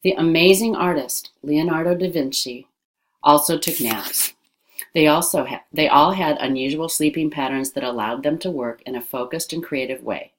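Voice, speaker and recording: A woman with a low-pitched voice reads an informational passage aloud. She follows a script in the manner of an educational narrator. Her words are carefully and deliberately pronounced. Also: rough recording. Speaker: reader of an educational text.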